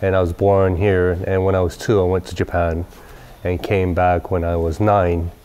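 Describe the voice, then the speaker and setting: Low-voiced man in his forties from Southern California, laid-back interviewee with a wandering delivery, recorded seated in a workshop. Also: moderate pace, smooth. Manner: relaxed, rambling with many fillers. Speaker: company president. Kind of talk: casual interview. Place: large workshop garage, indoors.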